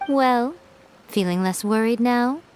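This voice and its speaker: A scheming fox spirit shrine maiden, rather high-voiced, sly and composed. She talks with teasing self-assurance in an anime game scene, with music clearly behind her. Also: takes it slowly, darkish tone. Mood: knowing. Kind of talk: anime video game voice acting.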